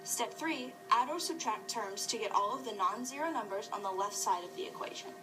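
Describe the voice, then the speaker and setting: A woman's voice: a little high, animated, fairly quick, music in the background. A young woman giving a scripted math lesson as a student presenter. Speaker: student presenter in a puppet show. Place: classroom. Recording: distant classroom camera.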